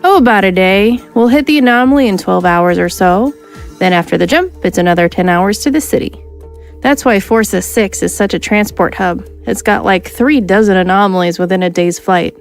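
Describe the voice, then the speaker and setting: A woman's voice: mid-range voice, moderate pace, lightly acted. Swaggering woman smuggler pilot, played by a woman, cocky, rule-bending, faint music. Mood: breezy. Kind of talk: audio drama acting.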